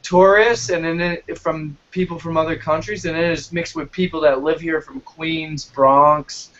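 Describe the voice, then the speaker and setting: Guest: higher-pitched man from New Jersey, stop-start casual talk, muffled lo-fi audio. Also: steady tone, a bit bright. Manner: halting, repeats words. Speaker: guest street performer. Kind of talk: casual chat. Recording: dull lo-fi call audio.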